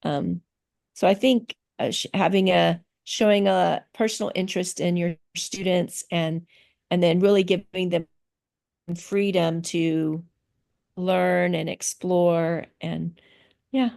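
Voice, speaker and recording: A middle-aged woman talks slowly and carefully on a video call, pausing often to gather her thoughts. Her voice has a gravelly, creaky texture. She answers in a relaxed, conversational way. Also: fairly low pitch. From grew up in Thailand. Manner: slow, thoughtful, with many pauses and fillers. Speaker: interviewee, teacher. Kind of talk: casual interview answers.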